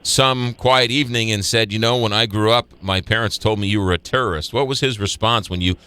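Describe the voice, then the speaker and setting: A man's voice: lowish voice, steady tone, brisk, bright, forceful tone, clean tone. A man hosting a radio interview in a studio, stumbling and restarting his questions. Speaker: radio host.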